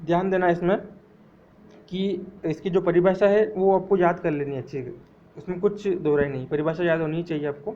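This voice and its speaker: A man with a fairly high voice delivers an exam-prep economics lecture. He goes over definitions slowly, repeating key phrases so his students can memorize them. The sound is thin and phone-like, with a faint hiss underneath. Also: fairly even intonation. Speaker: economics teacher.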